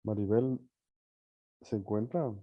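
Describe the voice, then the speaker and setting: A man teaching a class in an informal lecture style, pausing to think and often checking understanding with short tag questions. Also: lowish voice, no room sound, phone-quality sound. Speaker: university teacher leading an online or live class.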